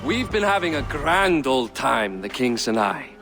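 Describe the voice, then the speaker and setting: Sly, mid-pitched Viking noble, dry and cocky. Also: male voice, very animated, unhurried, music in the background. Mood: wry scheming. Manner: wry and cocky, coarse asides. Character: sly, scheming male Viking noble, cousin.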